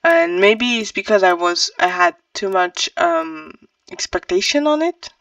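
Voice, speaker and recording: A woman's voice: a little high, big pitch swings, a little slow, gravelly, a bit bright, no echo, far from the mic. A woman giving a casual, opinionated book review in an informal, emphatic way.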